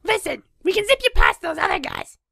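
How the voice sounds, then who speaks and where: Excitable goblin teen, extremely high, bright and slangy. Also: female voice, fairly quick, vocal fry, no room sound, studio quality, full character voice. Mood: eager. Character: excitable squeaky teenage goblin with slangy talk. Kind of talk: cartoon fan dub acting.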